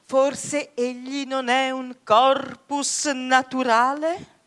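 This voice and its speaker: A saucy servant of a scholar in a classical radio comedy. Her high, bright voice teases with mock-pompous learning and drawn-out phrases. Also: little pitch movement, full character voice. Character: cheeky, mock-learned housekeeper teasing her betters. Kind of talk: classical comic stage drama for radio.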